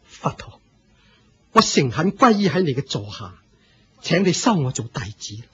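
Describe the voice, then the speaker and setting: A man's voice: a little high, sing-song, measured pace, a bit bright, slightly rough, exaggerated voice. A man with a Hong Kong Cantonese accent playing an aging religious leader, proud yet humble, in a theatrical Buddhist radio drama. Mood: humble, earnest. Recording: muffled lo-fi radio drama recording.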